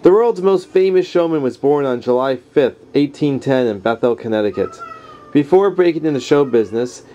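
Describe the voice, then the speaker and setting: A man's voice: medium pitch, animated, moderate pace, warm tone. A man reading a nonfiction book aloud in an informal, easygoing way, dropping in casual asides. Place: at home, household around. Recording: noisy home camera recording.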